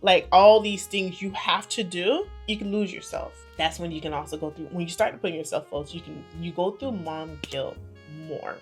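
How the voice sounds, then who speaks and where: A woman in her thirties chatting casually to camera as a vlogger, playful and confiding, her loudness and pace rising and falling a lot. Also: on the low side, normal speed, soft background music. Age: 30s.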